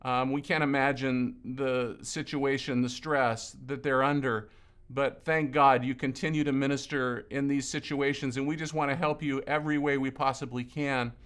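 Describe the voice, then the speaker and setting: A man from Lebanon interviewing a colleague in an earnest, conversational way, often pausing and repeating words. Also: mid-range voice, level tone, fairly bright tone, clean tone. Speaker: ministry leader interviewing a colleague.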